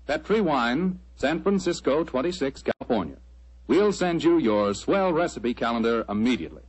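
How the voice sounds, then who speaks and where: An American man announcing a 1940s radio show and pitching the sponsor in a chummy, folksy way. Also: animated, moderate pace, breathy edge, low-quality recording.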